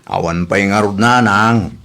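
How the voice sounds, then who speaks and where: A man's voice: lowish voice, unhurried, bright, forceful tone. A man playing a put-upon, jobless husband and son-in-law in a comedy drama, complaining in a colloquial way. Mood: hurt, indignant. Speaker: actor in an Ilocano audio drama.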